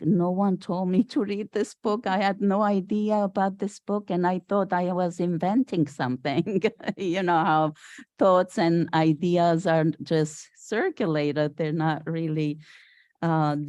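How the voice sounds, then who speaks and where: An older woman from Syria, giving a reflective, earnest personal talk as a guest speaker in a halting way. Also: sits low, dark tone, dry recording, muffled recording. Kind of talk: personal inspirational talk to a congregation with poem recitation.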